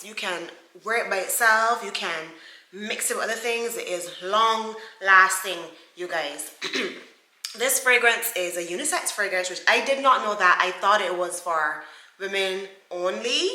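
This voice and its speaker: A young Barbadian woman talks casually to camera with a bright, lively tone, rambling and calling her viewers guys. Her speech carries a Barbadian accent. The room is echoey. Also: medium pitch. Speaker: beauty vlogger.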